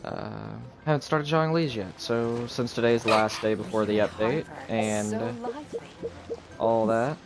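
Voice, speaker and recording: A young adult man chatting casually on a live game stream in a rambling, halting, low-key way. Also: vocal fry. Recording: game music behind voice. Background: video game music.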